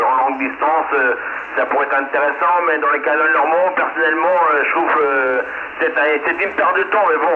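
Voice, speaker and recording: A man chatting casually as an amateur operator, heard over a CB radio link, halting and matter-of-fact. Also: fairly high pitch. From France. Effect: voice received over CB radio. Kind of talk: casual radio contact chat. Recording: tinny CB radio link, echo, hiss.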